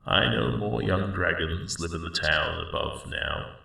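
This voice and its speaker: Ancient friendly dragon for asmr, super gravelly deep rumble, rambly and slow, really echoey and a bit far off. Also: male voice, full character voice. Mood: reflective. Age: elderly. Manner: slow, rambling, reflective, gently wry. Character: friendly ancient male dragon, mellowed guardian of a spring. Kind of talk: ASMR roleplay monologue. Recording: roomy cave-like echo, distant sound.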